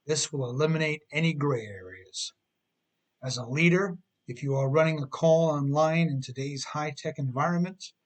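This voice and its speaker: A middle-aged man reading his own humorous advice book as scripted narration, with an emphatic, tongue-in-cheek delivery. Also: on the high side.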